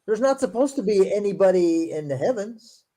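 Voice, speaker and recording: A man who speaks English as a second language, with Portuguese as his first, and a fairly high but mellow voice. He reads text slowly and breaks in with sarcastic asides. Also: lively intonation. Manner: reads verses aloud slowly, then comments in a quick, sarcastic tone. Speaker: show host. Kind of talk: casual critical discussion.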